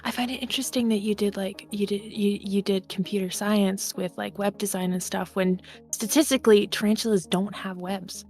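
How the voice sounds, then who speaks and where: A woman's voice: medium pitch, steady tone, normal speed, hardly any pauses, darkish tone. A woman playing a tabletop game, chatting casually in character and interrupting herself as she improvises. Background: background music.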